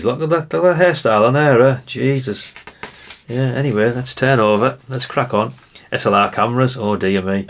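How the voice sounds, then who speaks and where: Low, gravelly man reminiscing. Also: fairly bright tone. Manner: rambling, chatty, musing aloud to the viewer. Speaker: retro collector presenting a video. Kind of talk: casual nostalgic commentary while browsing.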